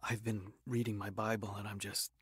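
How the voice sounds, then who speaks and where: A man's voice: on the low side, level tone, normal speed, a bit bright, husky, no echo, clean studio sound. An actor playing an earnest, tender man who is newly moved by his faith, in an audio drama. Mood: tearful awe. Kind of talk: radio drama acting.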